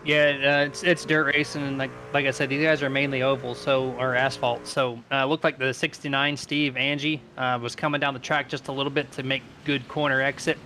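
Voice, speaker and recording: A man's voice: mid-range voice, flat delivery, brisk, hardly any pauses, pushed and bright, a little hiss or hum. A man doing live play-by-play commentary on a sim race in a casual, conversational manner.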